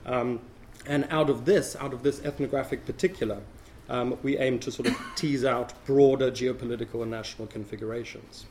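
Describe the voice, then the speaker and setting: Male academic discussant, slightly crackly from a cold, measured and explanatory, mid-pitched. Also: steady tone, a little mellow. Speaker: panel discussant, anthropologist. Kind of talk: academic panel talk.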